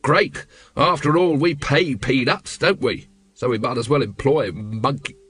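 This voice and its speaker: Man voicing an exasperated captain, very animated. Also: mid-range voice, measured pace. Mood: exasperated, sarcastic. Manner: curt, snapping, weary sarcasm. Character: exasperated, sardonic middle-aged male watch captain.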